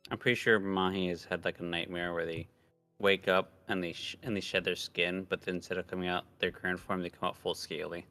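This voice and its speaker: A man joins a livestream as co-player, trading casual jokes and teasing. His voice sits fairly low with a lot of vocal fry. Soft music runs in the background. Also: flat delivery, a little fast, no room sound. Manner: teasing, joking. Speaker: streamer's friend and co-player.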